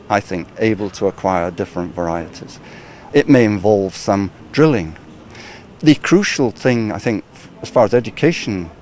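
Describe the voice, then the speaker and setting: A man speaking as an academic guest, explaining in a measured way with frequent small hedges. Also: lowish voice, a little mellow, slight background noise. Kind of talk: expert explanation.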